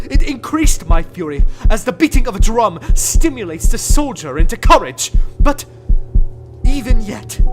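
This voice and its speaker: A man giving a dramatic reading of a gothic tale, theatrical, swinging between hushed whispers and frenzied shouts. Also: high-pitched. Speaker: reader. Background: clear music.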